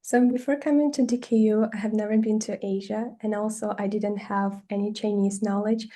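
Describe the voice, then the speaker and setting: A woman's voice: medium pitch, steady tone, normal speed, warm tone. A young woman student on a panel, speaking in simple, plain phrasing. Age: young adult. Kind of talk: casual panel answer.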